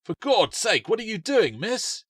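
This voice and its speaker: A man's voice: sits high, very animated, a little slow, breathy edge, no echo, clean studio sound, pitched above the performer's own voice, full character voice. A man voicing a blunt, grumbling male custodian at a fantasy park in a story reading. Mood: alarmed anger. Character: gruff, exasperated male theme park custodian.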